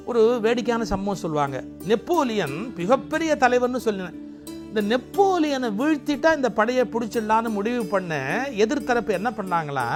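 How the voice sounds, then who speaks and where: A man with a high voice explains classical verse like a storyteller. He recites lines with emphasis and poses rhetorical questions, with music clearly behind him.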